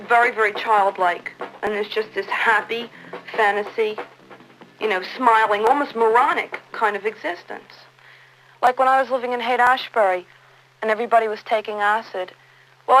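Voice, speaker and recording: A young female interviewee speaks in a somewhat high voice. She talks candidly and casually, as if looking back on her own life. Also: a bit bright, slight background noise, poor audio.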